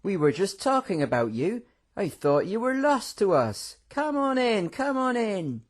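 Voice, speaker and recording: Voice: mid-range voice, sing-song, a little slow, pitched above the performer's own voice. A reader voicing a cheerful, hearty middle-aged man welcoming guests. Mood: delighted. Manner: hearty, beaming. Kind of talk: audiobook character voice.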